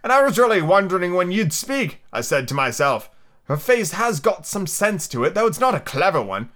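A man's voice: sits high, very animated, moderate pace, a bit bright, voice pushed up. A man voicing a prim, critical female rose in a storybook, speaking in a condescending, matter-of-fact way.

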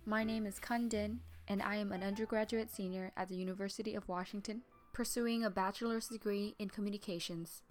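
A woman's voice: medium pitch, steady tone, fairly quick, hardly any pauses, faint music behind. A university student in her early twenties, from Vietnam and a native Vietnamese speaker, reading a prepared tribute in an earnest, formal way. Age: early 20s.

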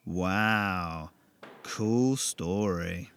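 A man's voice: medium pitch, normal speed, sharp tone, no echo, pitched above the performer's own voice. A man voicing a skeptical young man with a dry, sardonic way of talking. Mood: wry. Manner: dry and sardonic, turning urgent.

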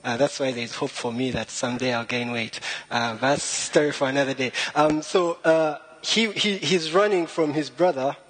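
A man's voice: medium pitch, normal speed, few pauses, slightly rough, low-quality recording. A young man from Rwanda, a little nervous, giving a chatty, joking talk full of puns and self-deprecating humor. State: a bit nervous. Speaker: guest speaker. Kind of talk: informal storytelling talk.

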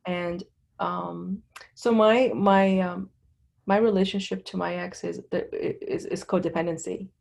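A middle-aged woman from Lebanon giving candid personal testimony as an interview guest, in a halting, conversational way. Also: on the low side, steady tone, vocal fry, a little mellow.

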